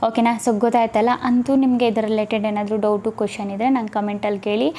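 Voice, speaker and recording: Woman doing a casual how-to, kinda high mellow voice, keeps checking in. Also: little pitch movement, fairly quick, slight background noise. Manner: instructional, often checking in with listeners. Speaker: tutorial host. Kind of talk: casual step-by-step screen tutorial.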